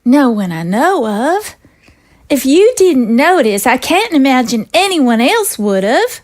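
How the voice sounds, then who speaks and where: A woman voicing the president's wife, who answers softly and calmly. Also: sits high, takes it slowly, full character voice. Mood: composed.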